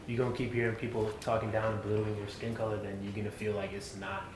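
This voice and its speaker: A man's voice: lowish voice, little pitch movement, fast talker. A man chatting casually as a guest on a podcast. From Somali background, has not lived abroad. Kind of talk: casual chat. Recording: echoey room, clear background noise.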